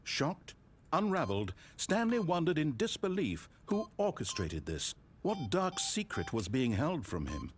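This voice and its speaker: A man's voice: a little high, huge pitch swings, unhurried, slight background noise. An actor voicing a wry, all-knowing male narrator in a game, telling the story in a dry, theatrical way. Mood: ominous. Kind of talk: scripted narration.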